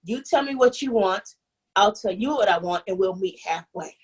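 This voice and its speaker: A young adult woman telling her own story as an interview guest, candid and animated, often restarting sentences and acting out what others said. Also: medium pitch, normal speed, breathy edge. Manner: animated, restarts often, acts out quoted voices.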